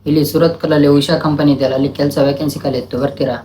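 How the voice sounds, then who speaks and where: Fast-talking young man with highish voice. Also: steady tone. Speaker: prank caller, vlog co-host. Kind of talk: casual prank phone calls. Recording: loud, clear background noise.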